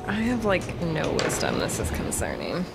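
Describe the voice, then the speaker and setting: A woman's voice: low voice, huge pitch swings, normal speed, vocal fry, loud music behind the voice. A woman playing a tabletop game, talking casually as a player at the table.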